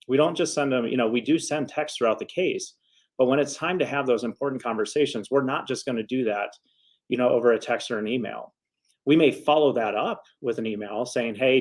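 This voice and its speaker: A man with a medium-pitched voice talks casually to his followers as an attorney. He is earnest and conversational, working through his advice in loose, rambling sentences, as if chatting from his office at the end of a working day. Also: darkish tone. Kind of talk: casual advice vlog to camera.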